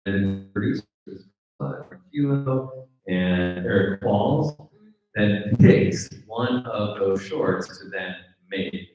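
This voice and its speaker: Echoey, low-voiced man hosting an event. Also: slow pace, darkish tone. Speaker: event host and screenwriting mentor. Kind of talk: casual event moderating. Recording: very echoey room, distant dull mic.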